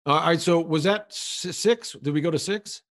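A man hosting a podcast, eagerly firing quick questions back and forth with his guest. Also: fairly high pitch, a bit bright. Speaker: podcast host. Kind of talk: podcast conversation.

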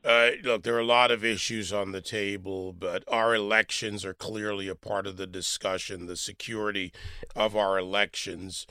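A man's voice: lowish voice, level tone, normal speed, bright, forceful tone, clear, resonant tone, dry recording. A man hosting a talk show, asking a guest long, winding questions full of filler words. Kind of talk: interviewing a guest.

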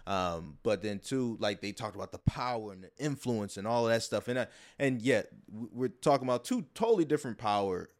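A man's voice: fairly low pitch, lively intonation, fairly quick, hardly any pauses. A man hosting a podcast in a casual conversational style, talking in a long-winded way that circles back and repeats words. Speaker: podcast host.

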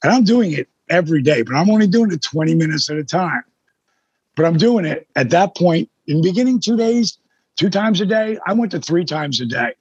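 Husky high-voiced older man, blunt. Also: animated, brisk, darkish tone, no room sound, lo-fi sound. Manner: blunt, profane, emphatic. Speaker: guest. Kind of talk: casual storytelling in an interview.

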